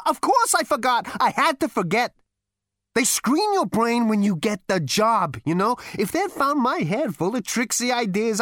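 A brash young man, as a woman reads him out, rants in emphatic, slangy bursts. His voice swings lively up and down. The sound is dry and close. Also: on the high side, no echo, pitched above the performer's own voice. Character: brash, cocky young man, ex-president, exasperated. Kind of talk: audiobook character voice.

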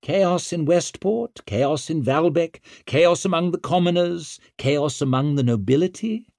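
Rueful male monarch, voiced by a man: lighter pitch, unhurried, wry and weary, audiobook fantasy. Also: a little mellow, no room sound, studio quality. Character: weary, resentful, self-doubting male king.

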